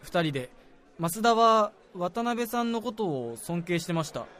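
A man's voice: high voice, animated, measured pace, a bit bright. A man acting an earnest, self-doubting young salaryman in a radio drama, speaking deferentially and confidingly. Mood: earnest recounting. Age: young adult. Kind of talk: Japanese radio drama dialogue.

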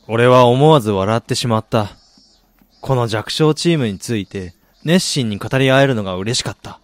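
A man's voice: medium pitch, expressive, moderate pace, no room sound, lightly acted. An actor playing a reflective, polite soccer fan who also narrates his own story in a radio drama. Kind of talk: Japanese radio drama acting with first-person narration.

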